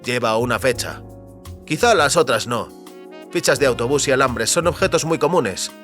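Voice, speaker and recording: An actor playing a wary, determined man trapped between powerful forces, speaking deliberately in an audio drama. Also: medium pitch, animated, a bit bright, faint music behind, close to an everyday voice. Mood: reasoning aloud.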